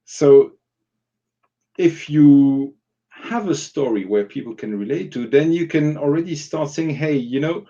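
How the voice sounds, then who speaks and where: A man's voice: fairly high pitch, a little slow, warm tone, lo-fi sound. A man giving informal, explanatory advice as a workshop facilitator in a live online discussion, illustrating points with example questions.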